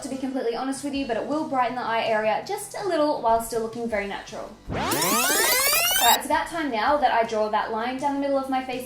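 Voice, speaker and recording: A woman's voice: high voice, roomy sound. A young woman with a stuffy, nasal head-cold voice, chatting upbeat and self-mocking through a makeup tutorial. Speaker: beauty vlogger. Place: indoor room with fairy lights.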